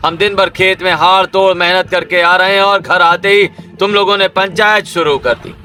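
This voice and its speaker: A man's voice: sits high, fairly even intonation, moderate pace, pushed and bright, faint music behind. Bossy, irritable young village husband acted by a man. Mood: angry, bossy. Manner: scolding, bossy, dismissive.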